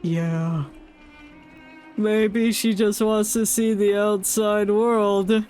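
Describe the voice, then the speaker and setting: A man's voice: high-pitched, steady tone, extremely slow, a little mellow, clean tone, faint music behind. A man commentating casually on a game stream with playful, offhand reactions.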